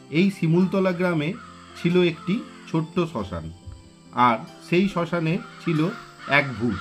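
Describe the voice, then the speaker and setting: A man narrating a spooky village cartoon story in a slow, measured way. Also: a little high.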